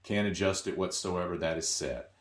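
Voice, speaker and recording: A man's voice: deep voice, normal speed. A man giving a casual, hands-on product tutorial, explaining things informally and repeating key points for emphasis.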